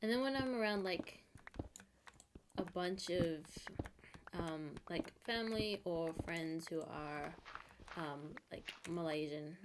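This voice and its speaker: A woman's voice: on the low side, slow. A woman live streaming from home, chatting casually and rambling with many fillers. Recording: noisy home stream audio.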